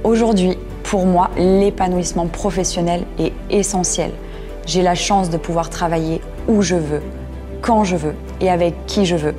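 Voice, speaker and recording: A woman's voice: fairly low pitch, fairly even intonation, moderate pace. Woman in her thirties over music. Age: 30s. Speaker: entrepreneur giving a testimonial. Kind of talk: promotional testimonial.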